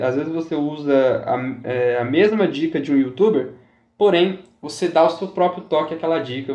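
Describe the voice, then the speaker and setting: A chatty man with a slightly high voice talks casually through his gameplay tips. He repeats himself and keeps checking in with his viewers. His voice carries a noticeable roomy echo. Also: darkish tone. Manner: chatty, repeats himself, says "galera" and "tá?" often. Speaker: gaming YouTuber.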